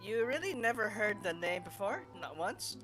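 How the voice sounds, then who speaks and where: A woman's voice: mid-range voice, sing-song, normal speed. A woman game streamer giving casual, informal livestream commentary with hesitant phrasing. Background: loud game music.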